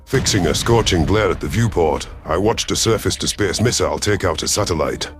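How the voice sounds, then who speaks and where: A man's voice: deep voice, expressive, a little fast. A man narrating a science fiction story in the first person, in a dramatic scripted reading style. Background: background music.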